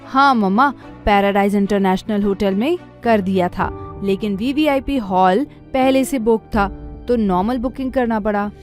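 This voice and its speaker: A woman's voice: medium pitch, measured pace, close to an everyday voice. An actress playing a haughty, spoiled young woman in a Hindi audio drama, drawling her English phrases affectedly. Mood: matter-of-fact. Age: young adult. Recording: music bed behind the voice.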